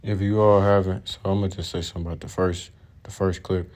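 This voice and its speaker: A man with a very deep voice talks casually about video clips he has been showing. His pitch barely moves and stays low throughout. He sits some way back from the mic. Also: flat delivery, fast talker, a little mellow. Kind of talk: casual commentary.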